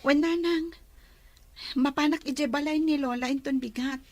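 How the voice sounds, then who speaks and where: A woman's voice: sits high, moderate pace, a little mellow, no room sound, natural voice. A woman playing a timid, anxious, dutiful young daughter in a radio drama. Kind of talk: Ilocano radio drama acting.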